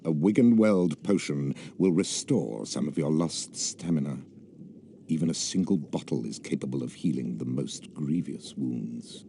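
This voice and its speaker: Very deep, creaky, sneering British schoolmaster. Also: male voice, dark tone, a little hiss or hum, exaggerated voice. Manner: slow, deliberate, condescending delivery. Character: stern, sneering male potions teacher at wizard school.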